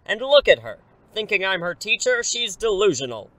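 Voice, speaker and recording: A man's voice: sits high, sing-song, normal speed, a bit bright, dry recording, rough recording. A man playing a wordy superhero in his thirties who is secretly an English teacher, theatrical but easily flustered, in a comedic audio drama. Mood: bluffing, insistent. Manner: glib, wordy, awkward when cornered.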